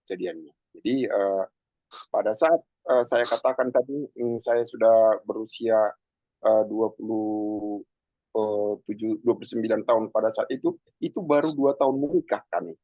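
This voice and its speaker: Man, 50s, personal testimony, halting with fillers, gravelly voice, dry sound. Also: fairly low pitch, fairly even intonation, dark tone, dry recording, rough recording. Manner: halting, many pauses and fillers. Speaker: testimony speaker.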